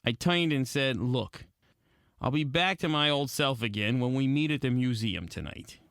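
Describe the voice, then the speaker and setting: A man's voice: mid-range voice, moderate pace, a bit bright, dry recording, studio quality, lightly acted. A man narrating as a wry, world-weary, slangy hard-boiled gumshoe in old detective radio style. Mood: wry narration. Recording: music under the voice.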